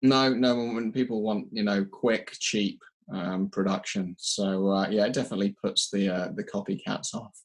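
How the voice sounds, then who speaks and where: Man, low even pitch, slightly rough voice, hesitant explanatory delivery, technical interview. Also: moderate pace, hardly any pauses. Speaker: audio company representative being interviewed.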